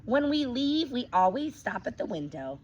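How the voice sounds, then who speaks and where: A woman teacher reading a picture book aloud to young children, expressive, stopping to ask them questions. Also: a little high, normal speed, highs cut off, a little hiss or hum.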